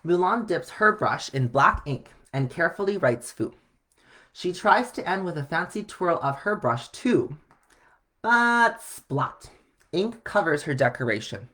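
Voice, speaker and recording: A man reading a children's story aloud in an expressive way. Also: a little high. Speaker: storybook reader.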